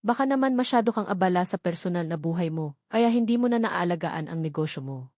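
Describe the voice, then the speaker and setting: A woman's voice: on the low side, animated, brisk, darkish tone, dry recording. A scheming female rival from a romance storybook, voiced by a woman narrator. She is jealous and taunting, hiding spite under false concern. The sound is dry and close. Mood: feigned concern.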